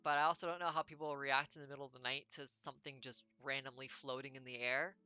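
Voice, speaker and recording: Streamer, female, playful wry reader; low, crisp, bright tone. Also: steady tone, soft background music. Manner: playful, wry, quick asides. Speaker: game streamer. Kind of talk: reading a text adventure aloud with jokey asides.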